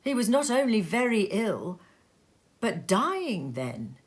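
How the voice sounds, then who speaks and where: A woman's voice: mid-range voice, very animated, slow. Woman voicing a careworn young husband, hesitant and earnest. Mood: relieved, earnest. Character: careworn young husband, depressed yet quietly relieved.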